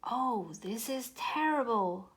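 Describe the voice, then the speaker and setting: Female reader as an earnest animal pal in a picture book, bright-eyed and bossy, emphatic, with high lively pitch. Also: slow. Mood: dismayed. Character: Ginny and Penny, earnest bossy animal friends. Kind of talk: children's storybook character voice.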